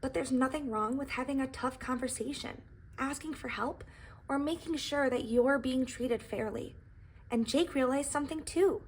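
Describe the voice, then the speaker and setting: A woman's voice: high-pitched, normal speed, husky. A woman voicing a humble, anxious and earnest young pie who tells its own story in a children's storybook, with dramatic emphasis. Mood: reflective, upbeat. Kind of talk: expressive storybook reading.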